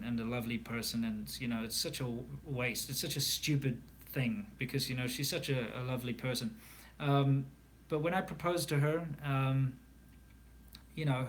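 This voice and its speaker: A South African man in his thirties hosts a live stream and chats through viewer questions. His voice sits at an ordinary middle pitch, and his relaxed delivery is full of ums and repeated words. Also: slight background noise. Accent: South African English. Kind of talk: casual live stream chat answering questions.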